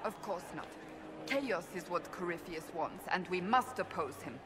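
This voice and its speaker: A woman's voice: lowish voice, animated, a little slow, a crowd in the background, noisy background. A woman playing a stern, scornful warrior in a video game, blunt and sarcastic in her delivery. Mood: resolute. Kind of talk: video game dialogue acting. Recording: long hall reverb, music behind.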